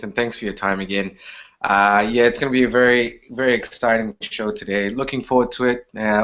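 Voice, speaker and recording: Relaxed joking man, lo-fi. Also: medium pitch. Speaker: co-host, founding member. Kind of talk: casual chat.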